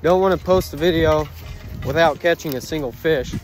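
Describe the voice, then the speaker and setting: Higher-voiced American man, casual outdoor vlogger. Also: normal speed. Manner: folksy, informal, plain-spoken. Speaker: fishing vlogger. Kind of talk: casual outdoor vlog chat to viewers. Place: outdoors by a river.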